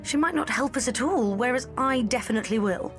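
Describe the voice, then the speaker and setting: An actress playing a headstrong, resourceful young adventurer in an audio drama, earnest and insistent. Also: female voice, a little high, brisk, close to an everyday voice. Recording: music under the voice.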